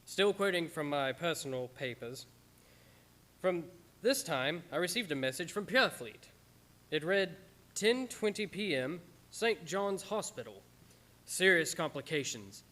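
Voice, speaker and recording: A man plays an earnest, devoted doctor in a radio horror drama. His voice is a little high for a man. He delivers his account with solemn, dramatic weight. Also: bright, forceful tone. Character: earnest, devoted, anguished male doctor narrating his journal.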